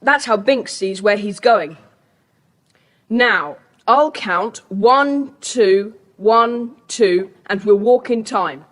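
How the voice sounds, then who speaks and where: A woman's voice: mid-range voice, fairly bright tone. A woman playing an eager, bossy schoolboy who takes charge in a children's audio drama. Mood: urgent. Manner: brisk, take-charge. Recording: faintly hissy cassette tape.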